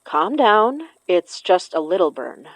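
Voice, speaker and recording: A woman playing a steady, protective young spell caster in an audio horror drama, with casual, natural delivery. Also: lowish voice, slow pace, dry recording, heavily acted. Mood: exasperated. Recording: dry, slightly muffled studio.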